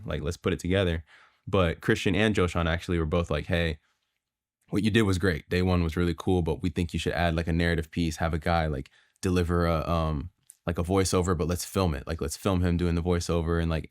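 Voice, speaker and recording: A young man from Florida with a deep, low voice recounts a video shoot in a loose, conversational way, full of fillers and restarts. The recording is dry and close, with no room sound. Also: a little fast, no room sound. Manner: relaxed storytelling with many fillers. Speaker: guest videographer and director. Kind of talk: casual podcast conversation.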